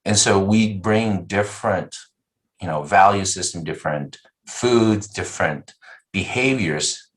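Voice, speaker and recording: A man raised in the United States, a nonprofit executive giving measured, explanatory interview answers. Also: low voice, poor audio. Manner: measured, explanatory, uses "you know" and "right?" often.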